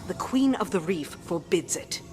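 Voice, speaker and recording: Stern, lowish-voiced soldier. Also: female voice, expressive, a little slow, music in the background. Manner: blunt, commanding. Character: stern, hard-bitten female soldier loyal to queen. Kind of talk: video game cutscene drama.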